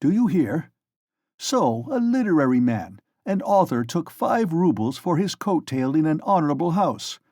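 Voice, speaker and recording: A man voicing an enraged, pompous police official who shouts and blusters. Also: on the high side, sing-song, normal speed, dry recording, clean studio sound. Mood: scornful.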